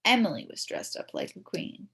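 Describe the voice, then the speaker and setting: A woman reading a children's storybook aloud in an expressive, playful way. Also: normal speed. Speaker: story reader.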